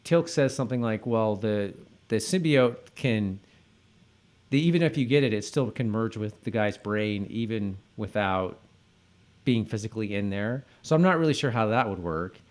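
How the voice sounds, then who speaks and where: A man's voice: lowish voice, expressive, normal speed, darkish tone. A man co-hosting a podcast in casual conversation, often repeating words as he thinks aloud. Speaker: podcast co-host.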